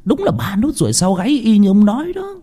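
A man's voice: a little high, big pitch swings, fast, dark tone, slightly rough, in a large hall, pitched above the performer's own voice, exaggerated voice. A man voicing a cruel middle-aged female gangster in an audio story, scolding and foul-mouthed in a snappish way. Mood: excited. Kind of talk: acted audio story dialogue.

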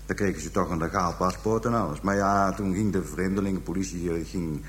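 An actor playing a callous pimp making a halting, coarse confession to police in a radio drama. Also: male voice, sits low, a little hiss or hum, lightly acted. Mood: halting, matter-of-fact. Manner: halting, hesitant storytelling, coarse slang. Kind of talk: radio drama acting, interrogation scene.

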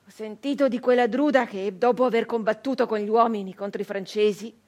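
An actress playing a fierce, embittered nineteenth-century brigand woman in an intense, declamatory theatrical monologue with dramatic pauses. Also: on the high side, fairly even intonation, natural voice. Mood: grim. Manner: intense, declamatory, with slow pauses and hushed drops. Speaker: stage actress.

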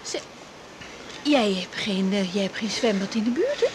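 A woman playing an earnest, caring young doctor in a radio drama, speaking in a hesitant, halting way. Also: medium pitch, very animated, close to an everyday voice. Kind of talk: 1980s Dutch radio drama acting. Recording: noisy 1980s radio recording.

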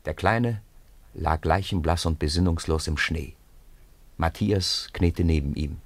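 A man narrating a 1950s radio play in an expressive storytelling manner. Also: low-pitched, unhurried, no echo. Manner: expressive storytelling with lively intonation.

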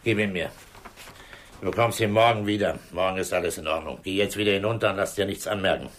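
A man playing a calm, authoritative police inspector, terse and deliberate, in a 1960s German radio drama. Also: low-pitched, a little hiss or hum. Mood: reassuring, firm. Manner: terse, commanding, unhurried, deliberate.

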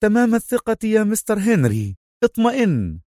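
A man's voice: medium pitch, lively intonation, fairly quick, dark tone, no echo, studio quality, close to an everyday voice. A man voicing a smug, confident, heavyset villain who gloats without hurry in a dramatized audiobook. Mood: triumphant.

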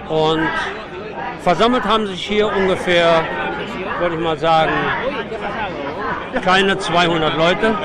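A man reporting live for radio from outdoors, giving a spontaneous running commentary as the show's host. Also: fairly high pitch. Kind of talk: live on-location radio reportage from a street protest. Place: outdoors on a city square at a protest. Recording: noisy outdoor street recording amid a crowd. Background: loud crowd, street and vehicle noise.

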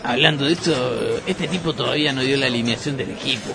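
An actor with an Argentine accent playing a dim, defensive, reluctant football player who grumbles, in a comic radio sketch. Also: male voice, medium pitch, brisk, gravelly, bright, forceful tone, faint music behind.

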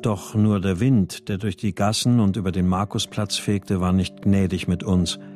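A man acting as a troubled husband in a radio crime drama, with music under his voice. He speaks low, measured and brooding, narrating his search for his family. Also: steady tone, darkish tone, close to an everyday voice. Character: troubled husband searching for missing wife and daughter. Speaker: lead actor and first-person narrator.

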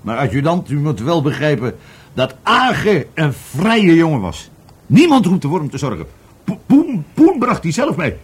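Husky, stammering, volatile businessman under questioning. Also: a little high, huge pitch swings, normal speed, heavily acted. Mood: agitated, bitter. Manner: stammering, repeating words, rambling. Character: evasive, volatile middle-aged businessman questioned by police. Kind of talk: Dutch radio play acting.

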